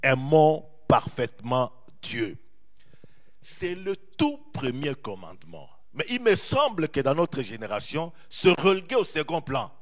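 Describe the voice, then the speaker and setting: Animated preaching man, lively pitch. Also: measured pace. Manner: animated preaching, repeats phrases, draws out key words. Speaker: pastor. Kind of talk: sermon.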